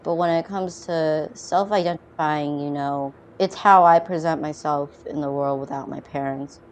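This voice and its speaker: A man's voice: on the high side, fairly even intonation, normal speed. A young man from China, adopted young, speaking reflectively in a documentary interview, often saying "you know".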